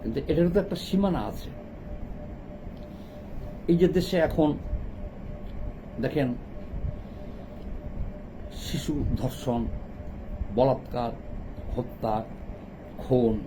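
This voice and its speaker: A man's voice: medium pitch, takes it slowly, pauses often, background noise. A middle-aged man with a Bangladeshi accent gives impromptu political commentary, emphatically and in short broken phrases.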